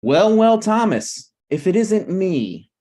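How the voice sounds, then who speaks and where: A man's voice: high-pitched, big pitch swings, slow, dark tone, full character voice. A man playing a stubborn, snarky broke writer with dry, needling delivery in a comedy table read. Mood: smug.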